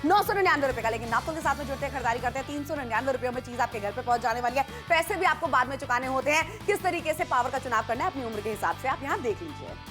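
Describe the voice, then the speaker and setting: A woman's voice: high voice, lively intonation, very fast, hardly any pauses, over music. A woman co-presenting on a home shopping channel, emphatic and eager as she sells. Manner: eager, emphatic selling with exclamations. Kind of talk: live TV sales pitch. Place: TV shopping studio.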